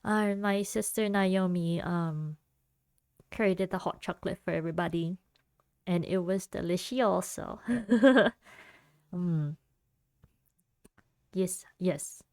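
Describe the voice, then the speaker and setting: A woman's voice: lowish voice, takes it slowly, long pauses, darkish tone, dry recording, studio quality. A young woman hosting her own podcast, talking in a rambling, easygoing way with many fillers and frequent laughs. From United States. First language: Vietnamese. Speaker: podcast host. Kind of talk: casual solo podcast chat.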